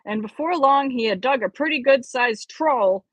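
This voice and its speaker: A woman's voice: sits high, normal speed, fairly bright tone, no echo. A woman reading a story aloud expressively as an amateur audiobook reader.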